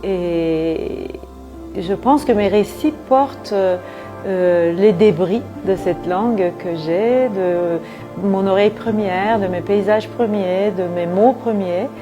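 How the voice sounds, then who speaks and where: A woman's voice: medium pitch, slow. A woman writer whose first language is Turkish, reflecting thoughtfully in an interview. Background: soft music.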